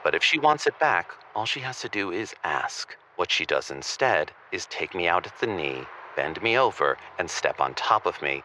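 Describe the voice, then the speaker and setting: Man playing stubborn intellectual radio caller, extremely low, forceful tone, precise, indignant, deadpan, faint line noise. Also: moderate pace, hardly any pauses, full character voice, poor audio. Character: Arthur, stubborn, prim, aggrieved intellectual male caller. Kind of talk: radio call-in comedy drama.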